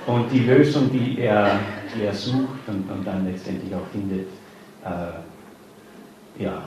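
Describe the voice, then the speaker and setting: Man, low voice, hesitant, many fillers, distant mic, very echoey hall. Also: noisy background. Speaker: author giving a reading. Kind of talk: informal introduction before a reading. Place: reading hall before an audience.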